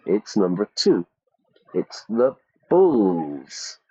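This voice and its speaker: A man's voice: fairly low pitch, wildly swooping pitch, extremely slow, warm tone, slightly rough, muffled recording. A man teaching English to learners, reading out quiz questions and repeating the answer options. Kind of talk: ESL quiz lesson.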